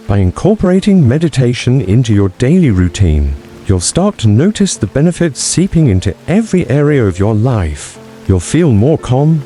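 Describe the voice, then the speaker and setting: A man with a soft-edged, mellow voice guides a meditation over background music. His scripted phrases are short, measured and soothing. The pitch sits mid-range but moves with very animated, lilting intonation. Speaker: meditation guide and channel host.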